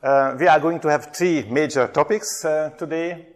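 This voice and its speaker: A man's voice: on the high side, normal speed. Middle-aged Hungarian man, slightly raised voice, running an interactive workshop with lots of ums. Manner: chatty, frequent hesitations, asks the audience questions. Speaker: workshop presenter, theology lecturer.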